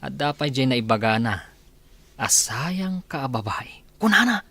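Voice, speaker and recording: A man's voice: medium pitch, sing-song, unhurried, bright, forceful tone, breathy edge, heavily acted. A man playing a gossipy, chatty mansion worker in an Ilocano radio comedy drama. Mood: gossipy, amused.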